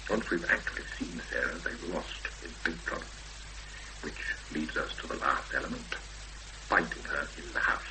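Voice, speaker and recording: An actor playing a brisk, impatient man of action plotting a rescue in a 1970s radio drama, speaking emphatically and decisively. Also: deep voice. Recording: noisy 1970s radio broadcast recording.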